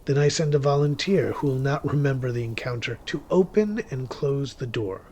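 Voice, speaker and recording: An actor playing a wry, secretive male witch who narrates a horror-thriller audio drama in a theatrical, deliberate manner. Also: mid-range voice, darkish tone, a little hiss or hum, lightly acted. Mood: sly, careful. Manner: wry, theatrical, deliberate, with sudden swells and drops. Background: music under the voice.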